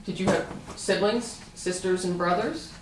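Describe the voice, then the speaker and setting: A woman conducting an oral history interview, gently prompting and often rephrasing her questions. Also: fairly low pitch, measured pace. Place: room at a community center. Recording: echoey room, distant mic, faint hiss.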